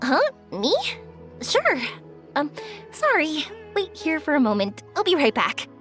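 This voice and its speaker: Upbeat young female adventurer in an anime game; high, girlish register, reassuring and sincere. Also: unhurried, soft background music, full character voice. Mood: flustered. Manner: encouraging, expressive, earnest.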